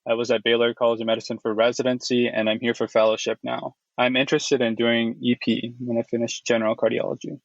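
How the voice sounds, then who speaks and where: A man's voice: mid-range voice, flat delivery, fast talker, creaky, hardly any pauses, no room sound, highs cut off. A man in his thirties from Houston, a cardiology fellow on a podcast, speaking upbeat and explanatory as he presents. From Houston, Texas. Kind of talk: podcast conversation, presenting a case.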